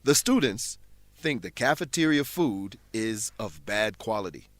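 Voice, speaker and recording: A man's voice: lowish voice, very animated, fairly bright tone, dry recording. Dry-sounding man teaching English. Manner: deliberate, explanatory. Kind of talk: scripted English lesson narration.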